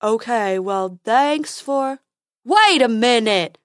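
A woman doing Fred, a slow, loud, simple fellow. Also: a little high, lively intonation, dry recording, studio quality. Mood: alarmed. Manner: slow, loud, earnest, refers to himself by name. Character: simple-minded male creature who talks in third person.

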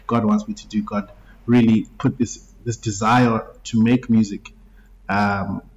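A man, a guest musician telling his story in a relaxed way and laughing easily. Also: lowish voice, unhurried, dull audio. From Zimbabwe. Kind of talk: casual interview talk.

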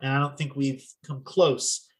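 A man's voice: medium pitch, flat delivery, measured pace. A man with a Philadelphia accent hosting a podcast, talking in an easygoing, reflective way. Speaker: podcast host. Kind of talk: casual chat.

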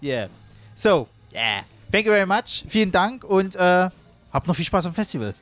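Relaxed male podcast interviewer. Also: sits high, normal speed. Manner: relaxed, friendly questions, switches to English. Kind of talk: casual festival interview.

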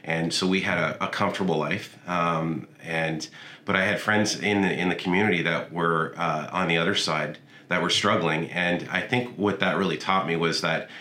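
A man in his forties with a very low voice and a bright, forceful edge to it. He talks in a friendly, rambling way, restarting and filling his sentences. The room around him sounds echoey. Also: fairly even intonation, normal speed. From Canada. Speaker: podcast guest. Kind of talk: casual interview chat.

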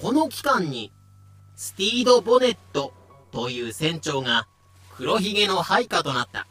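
A man's voice: high voice, very animated, normal speed, a bit bright, faint music behind. A man narrating an audio drama from a script in a dramatic storytelling style.

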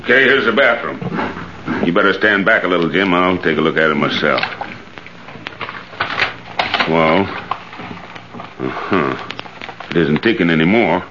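An actor playing a no-nonsense bomb squad policeman, gruff and businesslike, in 1940s radio style. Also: low-pitched, lively intonation, measured pace, pauses often. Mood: brisk, cautious. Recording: noisy, muffled old radio recording.

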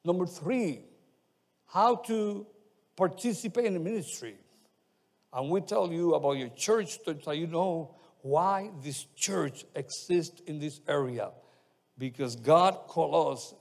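A man's voice: on the high side, darkish tone. Spanish-accented man preaching in a reverberant church. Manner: halting, many restarts and fillers, frequent amens. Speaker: pastor. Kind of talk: sermon. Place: church sanctuary. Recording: long reverb of a church hall.

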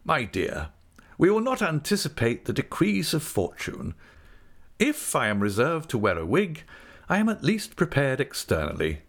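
A man voicing a pompous, complacent middle-aged gentleman with a grandiloquent, ostentatiously resigned manner in an audiobook. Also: a little high, wildly swooping pitch, normal speed, voice pushed up. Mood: ostentatious resignation. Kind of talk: audiobook character acting.

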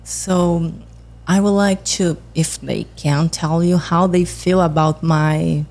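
A woman whose first language is Portuguese, chatting as a podcast guest in halting English with light laughs. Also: low-pitched, steady tone, gravelly. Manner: halting non-native English, soft laughs. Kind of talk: casual chat.

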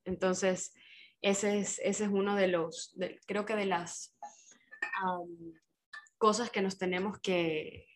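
Agreeable woman, mid-pitched. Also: flat delivery, slow. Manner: agreeable, affirming, chatty with fillers. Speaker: podcast co-host. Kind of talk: reflective podcast conversation.

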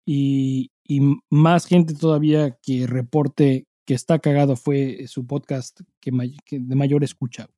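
A man's voice: medium pitch, level tone, unhurried, few pauses, dark tone, no echo, studio quality. A Mexican man co-hosting a podcast in casual chat, swearing freely and speaking with emphasis in heavy slang. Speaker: podcast co-host.

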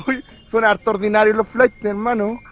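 Very high-voiced, goading Chilean prank caller. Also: male voice, level tone, fairly quick, dull audio, faint music behind. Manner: goading, slangy. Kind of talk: mocking prank phone call.

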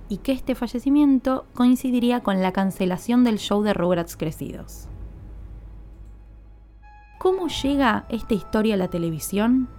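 A woman podcast host with a fairly high but very soft, mellow tone narrates a scripted story. She explains things in a measured way, leaning on her opening words, over light background music.

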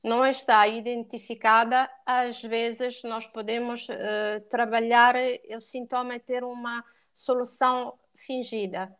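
Italian-accented woman guest, smooth voice. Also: a little high. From Italy. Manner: warm, fluent with frequent fillers and restarts. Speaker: pet behaviour coach, guest. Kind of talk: podcast interview.

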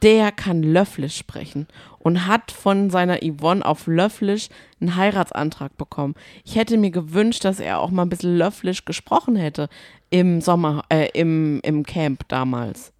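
A woman's voice: on the low side, a little slow, darkish tone, no echo, clean studio sound. A woman co-hosting a podcast, talking casually about reality TV shows. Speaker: podcast co-host.

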